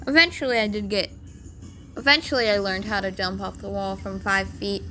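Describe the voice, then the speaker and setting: A woman with a raspy voice telling a rambling storytime, full of "like" fillers, over game footage. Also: mid-range voice, fairly even intonation, normal speed, pushed and bright, soft background music. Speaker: vlogger.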